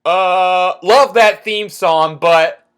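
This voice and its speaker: A man narrating his own cartoon review with dry sarcasm. His voice is bright and a little sharp, pitched slightly high, with faint music playing underneath. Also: very slow. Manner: sarcastic, wry.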